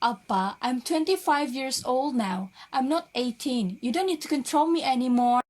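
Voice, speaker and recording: Woman playing a high-pitched, defiant young daughter. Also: normal speed, darkish tone. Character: defiant young adult daughter, outspoken yet pleading. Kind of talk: radio drama acting.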